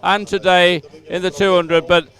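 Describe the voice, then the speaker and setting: A man's voice: high-pitched, level tone, measured pace, fairly bright tone. A man presenting live sports commentary in a formal, emphatic announcing manner.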